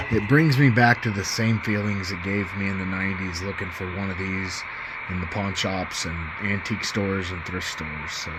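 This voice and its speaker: A man's voice: fairly low pitch, noisy background. A middle-aged man casually demonstrating gear as a hobbyist video host, in a folksy, enthusiastic and informal manner.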